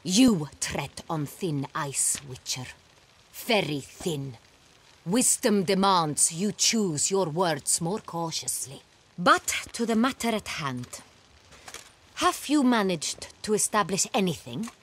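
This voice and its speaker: An actress playing an imperious, impatient duchess in a fantasy video game, speaking in a haughty, formal, cutting way. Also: female voice, on the low side, expressive, takes it slowly, husky, exaggerated voice. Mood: menacing. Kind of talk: dramatic video game acting.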